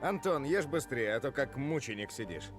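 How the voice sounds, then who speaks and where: A man's voice: medium pitch, expressive, brisk, faint music behind, close to an everyday voice. A man playing an irritated, weary father of two in a visual novel, curt and passive-aggressive.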